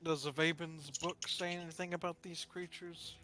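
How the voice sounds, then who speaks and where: A man playing a tabletop game, casually and haltingly reasoning aloud. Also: on the high side, flat delivery, pushed and bright. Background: background music.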